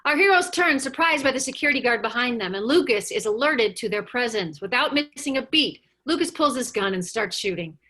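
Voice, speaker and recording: A woman reading screenplay stage directions aloud as the narrator of a table read, with animated storytelling. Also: fairly high pitch, fairly quick, hardly any pauses.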